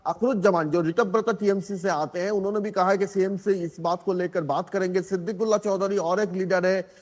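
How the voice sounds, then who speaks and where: A man reporting live as a news correspondent, halting as he repeats and corrects his words. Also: high-pitched, steady tone. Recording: long hollow room reverb.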